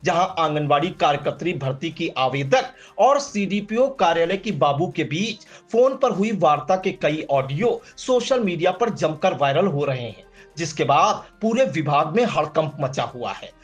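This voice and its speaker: A man's voice: fairly high pitch, expressive, normal speed, dull audio, faint music behind. A man reading a scripted news report. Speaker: news narrator.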